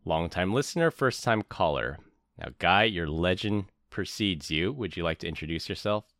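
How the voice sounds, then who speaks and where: A man's voice: low-pitched, animated, normal speed, fairly bright tone, no room sound. A man hosting a podcast, casual and dry-humored as he introduces his co-hosts and guest. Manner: dry, joking. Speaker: podcast host. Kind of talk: casual chat. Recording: music bed behind the voice.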